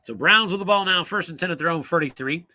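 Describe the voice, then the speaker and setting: A man calling solo play-by-play for a tabletop football game, brisk and rising on big plays, losing his voice and coughing now and then. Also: fairly high pitch, bright, forceful tone.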